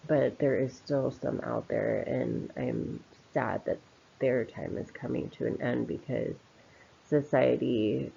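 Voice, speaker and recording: Woman with very creaky, low-fi voice. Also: fairly even intonation, slow, mellow, a little hiss or hum. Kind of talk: informal spoken commentary. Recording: slightly dull, lo-fi voice recording.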